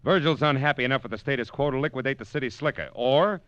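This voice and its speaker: An actor playing a wisecracking, sardonic American private detective in an old-time radio drama. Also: male voice, medium pitch, fast talker, a bit bright, no room sound, close to an everyday voice. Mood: brisk, insistent. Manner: dry, sardonic, slangy. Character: wisecracking, hard-boiled male private detective. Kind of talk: 1940s radio detective drama acting with first-person narration. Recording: dull, lo-fi vintage radio recording.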